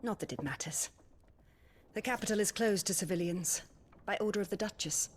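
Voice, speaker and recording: An actress playing a gentle, motherly, middle-aged widowed noblewoman in a video game, refined and tender. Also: mid-range voice, moderate pace, a little hiss or hum, lightly acted. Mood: wistful. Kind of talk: dramatic video game cutscene acting.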